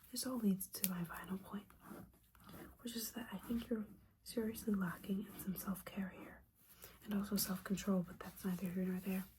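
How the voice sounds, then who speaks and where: A mellow, hushed witch mentor acted by a woman scolds her student in a whispered ASMR roleplay. She is judgmental and sassy yet caring underneath, tossing in casual asides. A faint noise sits behind the voice. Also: medium pitch, natural voice, poor audio. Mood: concerned, caring.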